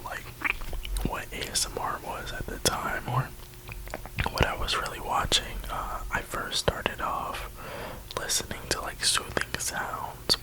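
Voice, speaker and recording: A young man in his early twenties, from New York and Florida, whispering through a rambling Q&A and repeating his words, sitting close to the mic. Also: low-quality recording. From New York and Florida, USA. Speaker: ASMR creator. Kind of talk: whispered ASMR Q&A.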